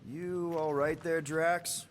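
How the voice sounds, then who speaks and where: An actor playing an upbeat, awkward young leader of a space crew in a video game, talking in a hesitant, joking, rambling way. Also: male voice, fairly high pitch, flat delivery, slow pace, a little hiss or hum. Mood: concerned. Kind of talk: naturalistic video game acting.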